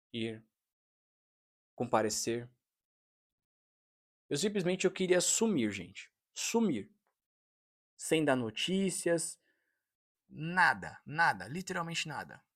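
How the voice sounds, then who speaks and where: A man's voice: medium pitch, a bit bright. A man, talking earnestly to camera in a casual vlog and confiding in his viewers. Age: 30s. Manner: earnest, confiding, frequent "né?" tags. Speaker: vlogger, fitness trainer.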